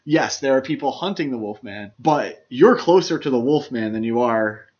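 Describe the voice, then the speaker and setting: A man's voice: mid-range voice, animated, moderate pace, a little mellow, muffled recording. A man co-hosting a podcast, chatting casually about films in a jokey, opinionated way. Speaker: podcast co-host. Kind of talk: casual film discussion.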